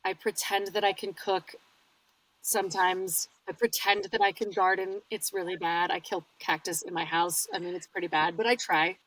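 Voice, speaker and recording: A woman, a guest audiobook narrator, chatting casually in an interview from a small padded home booth and telling her stories with lively swings in her voice. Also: moderate pace, recorded from a distance, poor audio. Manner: animated storyteller with wide pitch swings.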